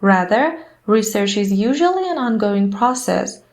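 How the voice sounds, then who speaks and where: A woman's voice: mid-range voice, lively intonation, unhurried. Woman reading practice passages aloud. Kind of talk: scripted read-aloud of exam passages.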